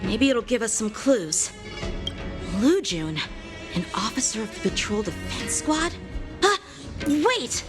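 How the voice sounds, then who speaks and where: Game heroine: earnest, vigilant young investigator. High register, alert and dutiful, scored with music. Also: female voice, big pitch swings, takes it slowly, pauses often. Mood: worried, analytical. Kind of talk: dramatic video game voice acting.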